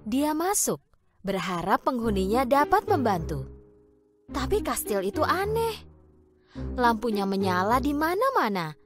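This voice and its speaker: A woman narrating a cartoon fairy tale in an expressive, storytelling manner over background music. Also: fairly high pitch, a little slow, long pauses.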